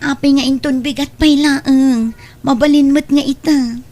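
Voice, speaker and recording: A woman's voice: high voice, fairly even intonation, measured pace, mellow. A woman playing a hardworking, ambitious younger sister and wife in a family radio drama, earnest and respectful. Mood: worried. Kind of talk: Ilocano radio-style family drama acting.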